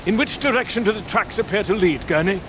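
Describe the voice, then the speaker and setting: An actor playing a proud, ruthless aristocratic lord in a gothic audio drama, speaking in an imperious, declamatory way. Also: male voice, sits high, lively intonation, fast, background noise. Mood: commanding.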